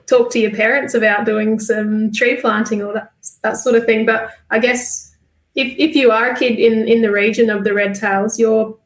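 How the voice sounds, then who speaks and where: An Australian woman chatting casually and informally as an interview guest, in an echoey room. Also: on the high side. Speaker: guest, bird conservation worker. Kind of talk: casual chat.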